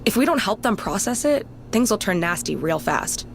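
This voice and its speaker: A woman's voice: medium pitch, sing-song, normal speed, creaky, slight background noise. A woman playing a polite, attentive clinical psychologist in a video game. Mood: friendly, concerned. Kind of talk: video game cutscene acting.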